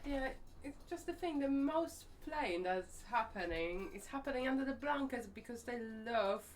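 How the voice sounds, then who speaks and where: A woman from Poland with a Polish accent, chatting softly in the background of a stream. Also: high voice, animated, moderate pace, slight background noise.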